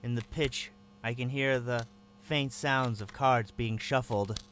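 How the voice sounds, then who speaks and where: A man's voice: medium pitch, fairly even intonation, takes it slowly, fairly bright tone, slight background noise. Tense first-person male narrator. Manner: tense, wary inner monologue. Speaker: narrator and captive lead.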